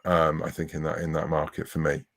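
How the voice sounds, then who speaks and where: A man's voice: rumbling low, monotone, brisk, creaky. A man in his forties speaking conversationally as a writer being interviewed. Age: 40s. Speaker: interviewed writer of comics and novels.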